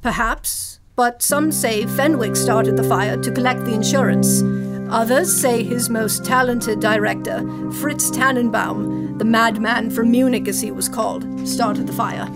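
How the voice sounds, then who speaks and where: Woman playing a high-voiced, grandiose stage actress. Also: a little slow. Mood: storytelling. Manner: theatrical, florid, genteel. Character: grandiose older stage actress, genteel and eccentric. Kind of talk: old-time radio play acting. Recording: live stage show with music underneath.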